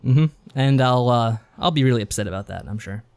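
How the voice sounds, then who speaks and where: A man's voice: mid-range voice, a little fast, a little mellow, smooth. An American man co-hosting a podcast in casual chat, laid-back and dryly sarcastic. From United States. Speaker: podcast co-host.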